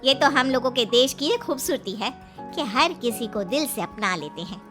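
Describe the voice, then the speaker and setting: A woman's voice: high-pitched, lively intonation, normal speed, over music, voice pushed down. Effusive neighbourhood aunty played by a woman. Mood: reassuring. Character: friendly middle-aged Indian neighbour aunty, cartoon.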